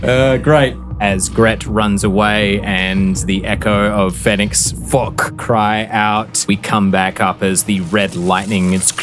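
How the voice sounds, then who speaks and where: A man narrating a tabletop game as game master, theatrical and deliberate, telling the action like a dramatic story. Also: lowish voice, steady tone. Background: background music.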